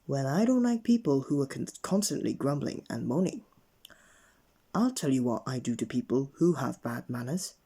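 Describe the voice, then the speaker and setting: A man voicing a stern storybook wizard who scolds with emphatic, drawn-out threats. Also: medium pitch, very animated, dark tone, breathy edge. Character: stern magical wizard scolding bad manners.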